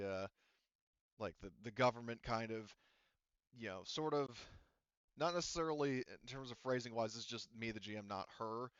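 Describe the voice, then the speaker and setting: A man running a tabletop roleplaying game off the cuff as game master, rambling and halting with frequent restarts and asides. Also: lowish voice, fairly bright tone, clear, resonant tone, no room sound, muffled recording.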